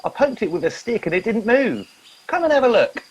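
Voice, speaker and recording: A man's voice: high voice, very animated, fairly quick, slightly rough, exaggerated voice. A man playing an eager, muddled junior crewman in a comic radio play, on a muffled recording. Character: eager, dim junior crew member.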